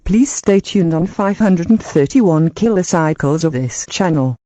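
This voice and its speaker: Woman newsreader, flat bulletin read; mellow voice, dry recording. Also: lowish voice, measured pace, no echo. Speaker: newsreader in a fictional emergency broadcast. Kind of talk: scripted amateur audio drama.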